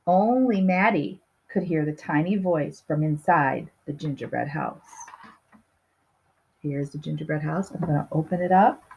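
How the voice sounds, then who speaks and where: A woman's voice: deep voice, a little slow, creaky, darkish tone, slightly rough, lo-fi sound. A woman reading a picture book aloud to young children, expressively stretching key words and putting questions to her listeners. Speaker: storybook reader.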